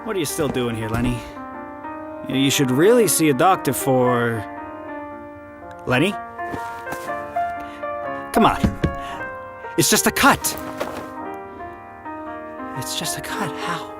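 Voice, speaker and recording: A man's voice: mid-range voice, big pitch swings, slow, long pauses. A young man acting a loyal, protective, anxious friend in a student radio drama, urgent and emotional. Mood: worried, distraught. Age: young adult. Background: music.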